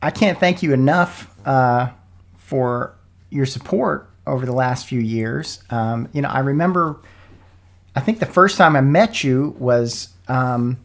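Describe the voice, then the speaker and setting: A man hosts a relaxed sponsor podcast in a mid-pitched voice. He sounds a little froggy, as if getting over a cold. His delivery is informal and folksy. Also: a little slow. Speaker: podcast host. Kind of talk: casual sponsor interview podcast.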